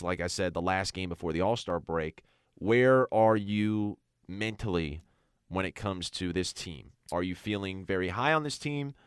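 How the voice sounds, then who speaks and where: Young male sports radio co-host, deep and resonant, conversational, dry studio sound. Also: clean tone, no room sound. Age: young adult. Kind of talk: casual sports talk.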